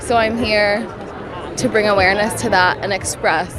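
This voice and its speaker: Female protester from Florida, earnest delivery, clear music and crowd behind. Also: mid-range voice, steady tone, normal speed. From Florida, United States. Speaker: protester interviewee. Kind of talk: earnest interview.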